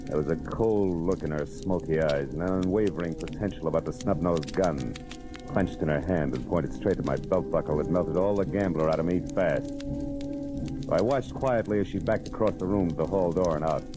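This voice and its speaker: A man's voice: deep voice, moderate pace, over music, lightly acted. A man acting a tough, wry American private detective in a 1940s radio drama, wisecracking and world-weary. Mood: wry, narrating. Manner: hard-boiled, wisecracking, slangy. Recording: old radio recording with background noise.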